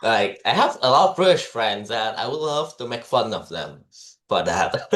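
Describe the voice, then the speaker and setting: A man's voice: medium pitch, very animated, normal speed, a bit bright. A man with a Vietnamese accent, co-hosting a casual discussion, talking loosely with many fillers and small laughs.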